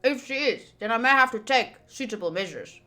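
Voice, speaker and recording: Bright, high-voiced woman streaming a game. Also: brisk, soft background music. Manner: playful, expressive, swears casually. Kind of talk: visual novel playthrough, reading text aloud with casual reactions.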